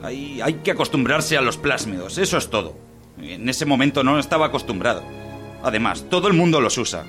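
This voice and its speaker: An actor playing a vain, short-tempered working-class husband, defensive and domineering, in an audio drama. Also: male voice, mid-range voice, big pitch swings, brisk, fairly bright tone, faint music behind. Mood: defensive, boastful.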